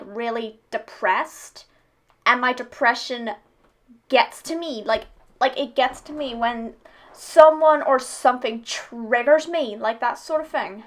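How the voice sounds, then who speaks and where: A woman with a fairly high voice gives casual personal commentary as a reaction host. She is hesitant and rambling, often repeating words as she goes. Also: fairly bright tone.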